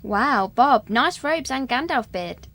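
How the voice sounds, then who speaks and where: Eager stage performer, high voice, animated and earnest, radio comedy. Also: female voice, slow, exaggerated voice. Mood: awed. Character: eager, credulous female amateurish theatre performer.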